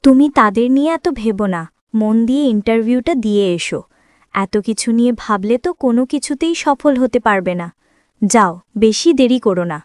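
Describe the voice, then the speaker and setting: A woman's voice: a little high, brisk, a little mellow, dry recording, studio quality, lightly acted. An actress playing a young wife and mother in a cartoon drama, coaxing and easily aggrieved, with an insistent, emotive manner. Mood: comforting.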